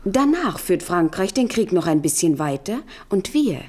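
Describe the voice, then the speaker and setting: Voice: sweetly scheming young woman, very animated. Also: fairly low pitch, moderate pace. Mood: persuasive. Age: young adult. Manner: polite, insinuating. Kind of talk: German radio play acting.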